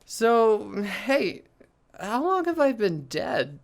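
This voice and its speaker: Spaced-out, drawling stoner for audio drama, played by a woman; slow and lively in pitch. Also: exaggerated voice. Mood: dazed, musing. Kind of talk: audio drama voice acting outtakes.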